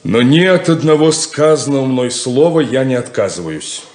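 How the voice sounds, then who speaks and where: An actor playing a stern, blunt, domineering older brother in a 1970s Soviet radio play. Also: male voice, mid-range voice, sing-song, a little mellow, reverberant, close to an everyday voice. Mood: cold, solemn. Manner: domineering, deliberate, lecturing.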